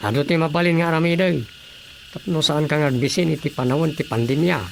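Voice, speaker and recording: A man voice-acting an ordinary village husband in a radio drama, talking in a low, muttering way. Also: fairly even intonation, fairly quick, gravelly, a little hiss or hum. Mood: plain. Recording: radio drama with loud background music.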